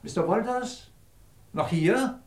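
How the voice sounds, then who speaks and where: In a roomy, echoing space, a high-pitched man plays a domineering research chief. He is brusque, urgent and commanding, short on patience, in classic radio-play style. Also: lively intonation, takes it slowly. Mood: impatient, commanding. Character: impatient, domineering titled male chief of a research team. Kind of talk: German radio drama acting.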